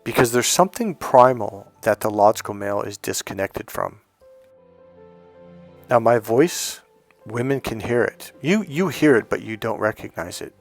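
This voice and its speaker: A man's voice: on the low side, lively intonation, moderate pace, soft background music. A man coaching other men on dating in a blunt, emphatic rant, swearing often. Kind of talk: casual profane rant to camera.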